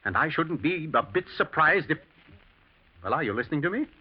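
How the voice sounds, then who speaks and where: An actor playing a shrewd, suspicious master of the house, urgent and dryly genteel, in a 1940s-style radio drama. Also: male voice, on the high side, very animated, fairly quick, husky. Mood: wry, suspicious. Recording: muffled, grainy old radio broadcast.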